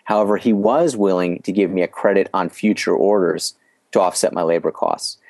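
A man speaking as an interview guest, explaining business lessons in a measured way, often restarting his phrases. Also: low-pitched, vocal fry, darkish tone, no room sound. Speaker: guest sharing business experience. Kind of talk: podcast interview storytelling.

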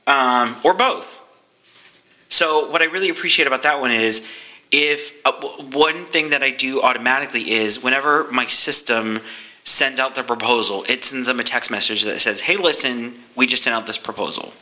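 Man, enthusiastic, chatty, playful, bright voice, casual business talk. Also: mid-range voice, normal speed, rough recording. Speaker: podcast guest, photo booth business owner.

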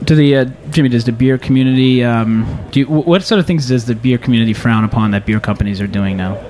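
A man's voice: mid-range voice, fast talker, a little mellow, slight background noise. A man hosting a casual radio talk show with joking, rambling banter.

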